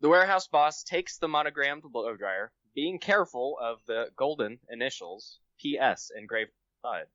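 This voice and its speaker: A man's voice: medium pitch, animated, normal speed, sharp tone, no echo, muffled recording. A man on a podcast reading his own comic short story aloud. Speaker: podcast guest, amateur writer.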